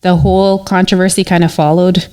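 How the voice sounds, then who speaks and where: A woman's voice: fairly low pitch, little pitch movement, brisk, darkish tone. A young woman from Canada, an artist guest on a podcast, answering in a casual, hesitant way full of fillers.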